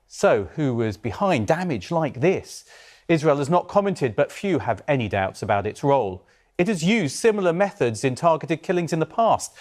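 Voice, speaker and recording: A man delivering a security correspondent's news report in a measured, explanatory way. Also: medium pitch, a little mellow.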